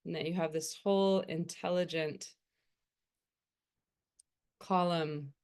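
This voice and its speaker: A woman meditation teacher speaks in a low, smooth, resonant voice. Her delivery is very slow and gentle, leaving unhurried pauses between phrases as she soothingly guides the listener. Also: fairly even intonation.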